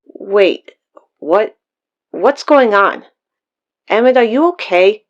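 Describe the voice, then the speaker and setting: Muffled, expressive sister on the phone, played by a woman. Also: mid-range voice, takes it slowly. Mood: concerned. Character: groggy, worried, no-nonsense sister on the phone. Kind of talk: audio drama dialogue.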